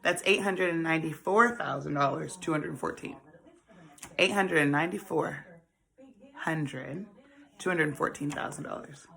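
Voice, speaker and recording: Gravelly forty-something woman giving money tips. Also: low voice, unhurried, sharp tone. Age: 40s. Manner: chatty, laughs often, calls listeners honey and baby. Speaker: host. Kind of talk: casual financial advice talk.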